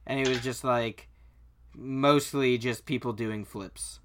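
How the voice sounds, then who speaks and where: A man's voice: medium pitch, fairly even intonation, slow. A man co-hosting a podcast in casual, slangy chat, freely opinionated and sometimes profane. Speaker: podcast co-host.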